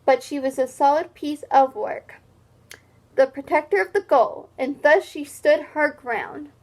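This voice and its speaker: A woman reading a children's story aloud like a teacher, expressive, with simple explanatory asides. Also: high-pitched, a little slow.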